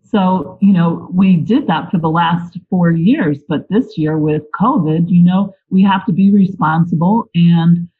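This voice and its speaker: Chatty pennsylvania woman, husky voice, sounds like over a phone. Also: fairly low pitch, a little slow, hardly any pauses. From Lebanon, Pennsylvania. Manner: chatty, storytelling with laughs. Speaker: volunteer guest. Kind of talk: casual interview. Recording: tinny phone-like line.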